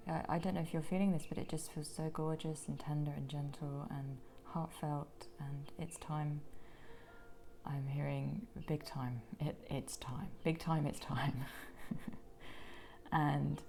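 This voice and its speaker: A woman with a croaky voice giving a gentle pick-a-card tarot reading, slipping into soft whispers now and then. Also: low voice, a little hiss or hum. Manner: gentle, soothing, drops into whispers.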